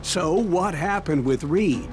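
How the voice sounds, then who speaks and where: An actor playing an uneasy saloon drinker with a guilty past in a Western video game, plain-spoken, with an American Western accent. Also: male voice, high-pitched, animated, slow. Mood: skeptical. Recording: guitar music behind the voice.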